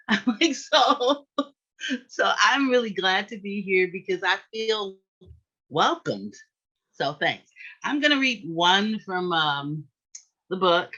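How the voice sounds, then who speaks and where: A woman's voice: medium pitch, lively intonation, slow pace, long pauses, bright, forceful tone. A woman author speaking casually over an online call before she reads. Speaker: author, guest reader. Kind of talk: casual remarks before a reading. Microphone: online video call. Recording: dull lo-fi call audio.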